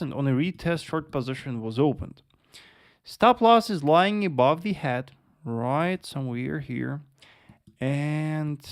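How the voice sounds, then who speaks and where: Guy doing a live forex stream, gravelly low voice, lots of yes and uh, keeps saying traders. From Russia. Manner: repeats words, addresses viewers as traders, many yes and uh fillers. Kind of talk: live market analysis with chat Q&A.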